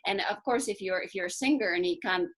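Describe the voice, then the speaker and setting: A woman's voice: mid-range voice, quick pace, a bit bright, slight background noise. Woman interviewer, encouraging, repeats words while prompting. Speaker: interviewer, host. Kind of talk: casual interview questions.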